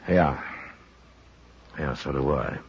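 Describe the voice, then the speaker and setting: An American actor playing a stoic, world-weary frontier marshal in a 1950s radio western, speaking in a measured, laconic way. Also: male voice, very deep voice, very noisy. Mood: worried, resigned. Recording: dull old radio recording, faint music.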